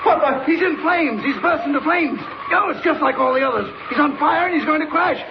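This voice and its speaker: An actor playing a brisk, worried airfield chief in a 1940s radio serial. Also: male voice, extremely high pitch. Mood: frantic alarm. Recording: muffled, noisy vintage radio recording.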